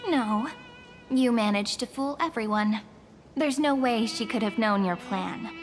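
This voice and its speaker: A woman's voice: mid-range voice, lightly acted. A woman playing a poised, playful, knowing young woman in a video game, articulate and composed. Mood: grave. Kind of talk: video game story dub acting. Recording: music behind the voice.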